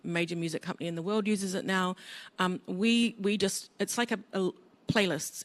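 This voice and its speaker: A woman with a New Zealand accent speaking as a panelist from a music company, enthusiastic and rambling. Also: on the low side. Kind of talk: live panel discussion.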